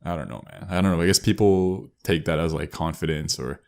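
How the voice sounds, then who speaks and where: A man's voice: low voice, brisk, creaky, a little mellow. A young man co-hosting a podcast, griping casually in blunt, sweary slang. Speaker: podcast co-host.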